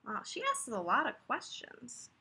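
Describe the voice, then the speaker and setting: Animated woman reading a picture book aloud. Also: a little high, vocal fry, a little hiss or hum. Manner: animated, pauses to comment and ask listeners questions. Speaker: children's story reader.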